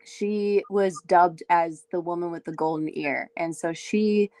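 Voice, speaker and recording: A young woman from Windsor, Ontario with a raspy voice, chatting loosely as a singer-songwriter guest, full of fillers. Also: lowish voice, fairly even intonation, unhurried, a little mellow, no room sound. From Windsor, Ontario, Canada. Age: young adult. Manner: chatty, many fillers, laughs easily. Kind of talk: casual interview chat.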